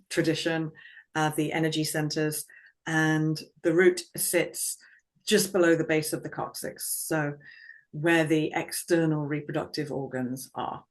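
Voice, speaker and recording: Low, slow, calm woman voice coach; measured and reflective. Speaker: guest, vocal confidence coach. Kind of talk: conversational interview.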